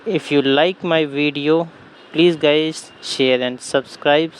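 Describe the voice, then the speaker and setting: A man's voice: on the high side, steady tone, extremely slow, a little hiss or hum, poor audio. A man with an Indian accent and a Hindi first language, hosting a language lesson in simple, broken English and translating each line as he reads it. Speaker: language teacher, channel host. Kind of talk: slow read-aloud language lesson.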